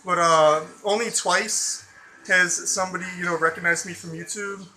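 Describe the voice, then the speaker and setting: High, bright-voiced American man rambling. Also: steady tone, normal speed, a little hiss or hum, poor audio. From Chicago suburbs, USA. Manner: loose and profane, heavy on fillers like "you know" and "like". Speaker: vlogger. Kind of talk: casual rambling vlog talk.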